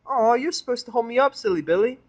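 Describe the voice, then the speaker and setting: A man's voice: very high-pitched, expressive, fast talker, pitched above the performer's own voice. A man voicing a hyperactive, childlike female cartoon pony who pleads and gushes. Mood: playful. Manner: bouncy, pleading, gushing.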